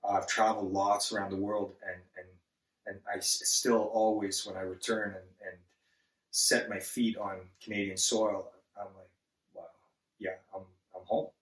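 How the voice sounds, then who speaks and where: A man born in Zambia, a guest on an interview, speaking earnestly and haltingly with many restarts. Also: on the low side, little pitch movement, far from the mic. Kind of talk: casual interview. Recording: echoey room, set back from the mic.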